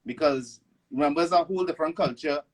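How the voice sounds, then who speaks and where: A man from Venezuela raised in Trinidad, with a Trinidadian accent, talking as a guest in a casual interview, halting with restarts. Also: a little high, slight background noise, low-quality recording. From born in Venezuela, raised in Trinidad. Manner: halting, many restarts and "you know". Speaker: guest artist. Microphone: online live stream.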